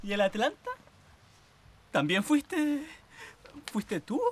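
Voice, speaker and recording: A woman's voice: medium pitch, big pitch swings, slow pace, background noise. An actress playing a devoted, wounded woman who has waited years for her lover, speaking with heartfelt pleading in a radio play. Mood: questioning. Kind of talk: radio theatre acting.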